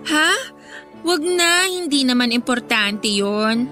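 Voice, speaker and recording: A coy teenage Filipino schoolgirl in a radio drama. The voice is very high and lively in pitch, hesitant and gently pleading as a peacemaking best friend. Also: takes it slowly, soft background music, exaggerated voice.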